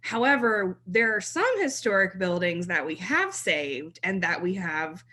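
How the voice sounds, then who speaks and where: Woman, thirties, from South Mississippi, podcast guest, chatty, laughs easily, bright voice. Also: on the low side, very animated, measured pace. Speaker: blog writer, guest. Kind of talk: podcast interview.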